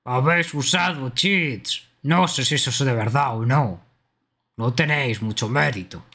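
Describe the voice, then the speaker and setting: Creaky-voiced man joking over a game. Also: medium pitch, a little slow, sharp tone. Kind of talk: casual joking commentary.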